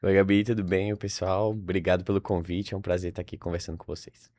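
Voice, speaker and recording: A man's voice: deep voice, level tone, quick pace, a little mellow. A young man from Salvador with a Brazilian accent, chatting informally as a podcast guest and thinking aloud with frequent tag questions. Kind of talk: casual interview conversation.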